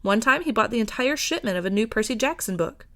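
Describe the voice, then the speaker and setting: A woman's voice: on the high side, animated, quick pace, fairly bright tone. A woman voicing a friendly, eager young female bookshop owner in an audiobook, gushing with enthusiasm. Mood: eager, effusive. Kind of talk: acted fiction reading.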